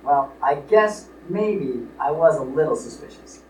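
A man playing a stern, suspicious, blustering father in a live radio play, speaking deliberately. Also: a little high, expressive. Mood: indignant. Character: stern, suspicious rich father, middle-aged or older man. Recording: roomy, distant stage recording with noise.

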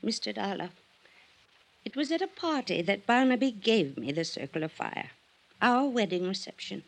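A wealthy older society widow in a vintage radio mystery. Her voice is husky and swings freely up and down. She rambles effusively and wistfully about the old days. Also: medium pitch, sing-song, moderate pace, low-quality recording. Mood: wistful nostalgia. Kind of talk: old-time radio drama acting.

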